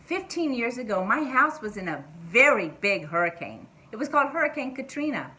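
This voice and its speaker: A woman guest telling a personal story to children, in simple words with expressive delivery. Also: fairly high pitch, brisk.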